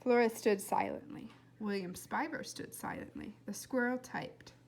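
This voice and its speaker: Storybook narrator, woman, slightly high register, expressive read-aloud delivery. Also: normal speed. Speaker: storybook reader.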